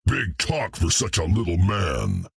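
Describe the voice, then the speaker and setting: A man voicing a hulking, cocky green brute in a video game, gruff and blunt, talking about himself in the third person with a gravelly, husky voice. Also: deep voice, huge pitch swings, a little slow, dry recording, studio quality, exaggerated voice. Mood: menacing. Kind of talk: video game character dialogue.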